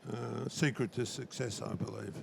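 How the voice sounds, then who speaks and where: An older man answering as a company founder in an interview, speaking in a halting, measured way. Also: mid-range voice, steady tone, vocal fry, darkish tone. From Australia. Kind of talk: conversational business interview. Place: interview lounge at a trade show. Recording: faint background ambience noise.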